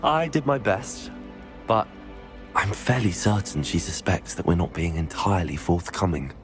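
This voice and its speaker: Guy as a polite wizard schoolboy in a game, quite deep voice, guarded, music clearly behind. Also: normal speed, natural voice. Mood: guarded, respectful. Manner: polite, deferential. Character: polite, guarded new male fifth-year student wizard. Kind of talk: scripted video game dialogue.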